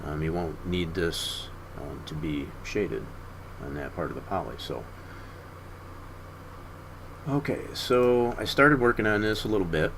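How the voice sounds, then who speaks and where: Man, very low voice, hoarse, gravelly, casual stream narration, lots of fillers. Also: lively intonation, noisy background. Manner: unhurried, filler-heavy thinking aloud. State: hoarse, frequently clearing throat. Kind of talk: casual screen-share tutorial.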